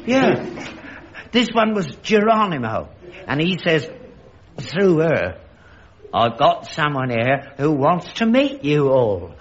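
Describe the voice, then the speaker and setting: Credulous old London father, very animated. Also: a little high, takes it slowly, long pauses, a little hiss or hum. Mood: earnest. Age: older. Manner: earnest, defensive, insistent. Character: credulous, indignant elderly father, rag-and-bone household. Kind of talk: studio sitcom acting. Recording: dull lo-fi archive recording.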